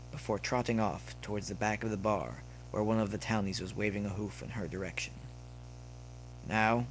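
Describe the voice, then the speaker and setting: Male story narrator, lower register, measured dramatic read with deliberate phrasing, slight noise and hum behind. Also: fairly bright tone, low-quality recording. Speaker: audiobook-style narrator of fan fiction. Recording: noticeable background noise with hum.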